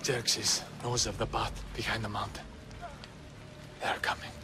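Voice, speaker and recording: A man's voice: fairly low pitch, little pitch movement, a little slow, background noise, natural voice. A man playing a grave Spartan soldier reporting dire news to his king in a video game cutscene. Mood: grave, urgent.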